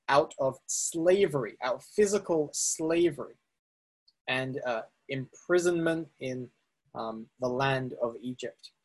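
A man's voice: mid-range voice, darkish tone, slightly rough, low-quality recording. A man teaching a Bible study session, explaining step by step with fillers and restarts, speaking to slides on a screen. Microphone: presentation recording with on-screen slides.

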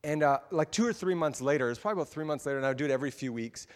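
Animated male preacher in a reverberant hall. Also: very fast. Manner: animated, acts out quotes with big pitch jumps. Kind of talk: storytelling sermon.

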